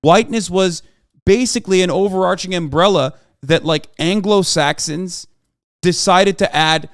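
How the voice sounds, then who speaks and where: A man's voice: high-pitched, moderate pace, no room sound, clean studio sound. A man streaming live, explaining his view emphatically to his chat, often checking in with "okay?" and swearing for emphasis. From Turkey. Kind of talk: livestream commentary lecturing chat.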